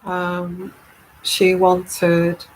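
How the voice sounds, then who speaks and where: A woman with a calming, soothing voice, hosting a live tarot stream and chatting casually as she reads viewer comments. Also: on the low side, poor audio. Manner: unhurried, chatty and rambling.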